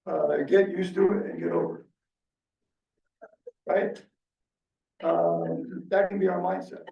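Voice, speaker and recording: A man from Egypt, a guest speaker giving a reflective, earnest talk, pausing often with many fillers. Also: high-pitched, steady tone, gravelly, muffled recording. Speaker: guest speaker, business owner. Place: meeting room. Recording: echoey room, far from the mic.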